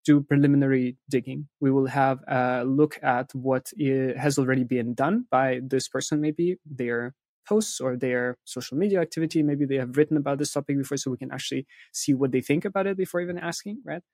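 A man's voice: mid-range voice, fairly quick, a little mellow, no room sound. A man giving thoughtful, conversational explanations as an interview guest, often checking in with a questioning tag at the end of statements. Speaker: interview guest, content agency specialist.

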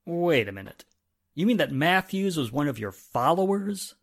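Man acting a curt, animated police inspector. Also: fairly high pitch, normal speed. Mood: curt, suspicious. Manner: curt, questioning. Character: blunt, questioning police inspector. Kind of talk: 1940s radio drama acting.

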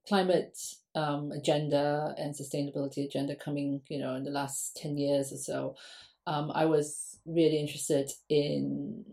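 A woman's voice: low voice, gravelly, warm tone. A woman from Singapore, an academic guest on a podcast, answering questions conversationally with frequent ums.

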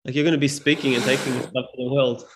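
A man's voice: medium pitch, level tone, rapid-fire, noisy background. A man whose first language is Serbian, speaking as a podcast guest in a reflective, hesitant way with many fillers. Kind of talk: casual conversation.

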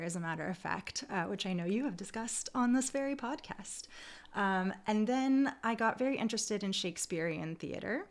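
A woman speaking as an academic interview guest, explaining her field in an articulate, conversational way. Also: medium pitch.

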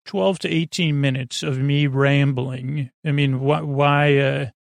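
A man hosting a sleep podcast in a rambling, halting, self-deprecating bedtime monologue full of tangents, with a creaky voice close to the mic. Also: steady tone, dry recording. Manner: self-deprecating, low-key.

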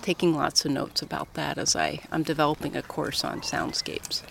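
A woman's voice: low-pitched, level tone, normal speed, vocal fry, a bit bright. A middle-aged American woman from Colorado chatting casually as an interviewee outdoors. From Colorado, United States. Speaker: interviewee, professor. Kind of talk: casual conversation. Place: outdoors on a coastal path. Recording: light outdoor ambient noise.

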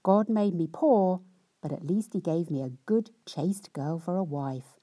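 A woman voicing a poor, weary, hard-working husband who grumbles in a gruff, exasperated way. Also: on the low side, animated, measured pace, dark tone, dry recording. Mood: musing.

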